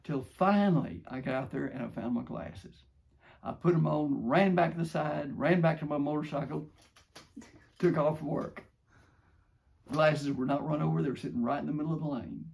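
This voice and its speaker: A man's voice: on the high side, moderate pace, creaky, a little mellow. An older man with an American accent, casually telling first-person stories in a rambling, modest, homespun way. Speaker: father telling stories from his youth.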